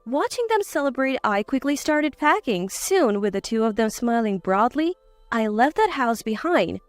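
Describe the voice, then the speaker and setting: A woman's voice: on the high side, very animated, normal speed, no echo, soft background music. A woman reading a scripted first-person dramatic story as narrator.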